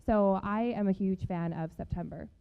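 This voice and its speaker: A woman's voice: mid-range voice, moderate pace, clean tone. A woman in her twenties with a mid-range voice gives orderly, conversational answers as an interview guest. The recording is a little dull and lo-fi. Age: 20s.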